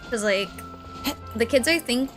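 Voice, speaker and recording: A woman in her early twenties chatting casually on a gaming stream, excitable and gushing, rambling as she goes. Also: on the high side, unhurried. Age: early 20s. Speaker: streamer and video essay creator. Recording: music behind the voice. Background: game music.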